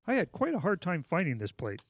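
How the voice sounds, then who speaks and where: Deep-voiced, lively, genial pastor. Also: female voice, fairly quick, slight background noise. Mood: cheerful. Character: genial, chatty, fussing church pastor. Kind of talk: radio drama acting.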